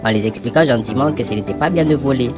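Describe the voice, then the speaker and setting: Woman as a low-voiced earnest cartoon child. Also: fast talker. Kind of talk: French-language cartoon dialogue. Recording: loud music behind the voice. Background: music.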